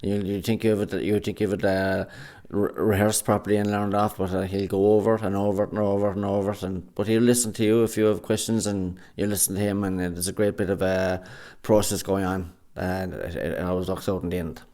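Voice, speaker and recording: An Irish man, a radio drama actor, chatting in an interview with many pauses and fillers in a recording studio. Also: sits low, flat delivery, warm tone. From Ireland. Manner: hesitant, many fillers and restarts. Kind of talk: casual interview chat.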